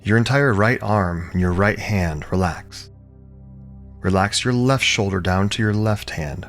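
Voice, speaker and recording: A man leading a guided relaxation as a yoga teacher, speaking calmly and soothingly in short, measured phrases with pauses. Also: lowish voice, soft background music. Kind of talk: guided meditation, gentle instruction.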